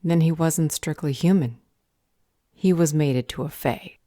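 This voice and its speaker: Woman playing a low-voiced, stern magical judge. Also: a little slow, warm tone, dry recording, clean studio sound. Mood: stern, officious. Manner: formal, officious, commanding. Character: stern, curt female magical council judge. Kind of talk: audio roleplay fantasy drama.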